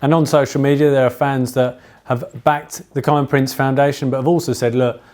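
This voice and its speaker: A man working as an interviewer, putting measured, prepared questions and remarks to a guest. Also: medium pitch, level tone, a little mellow.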